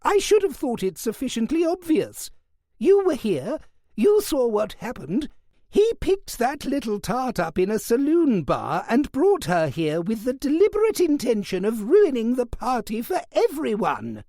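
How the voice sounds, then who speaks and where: Fierce old aunt: high, swooping, acid and indignant. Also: male voice, huge pitch swings, unhurried, dark tone, dry recording, clean studio sound, full character voice. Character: sharp-tongued elderly aunt, fierce and scornful.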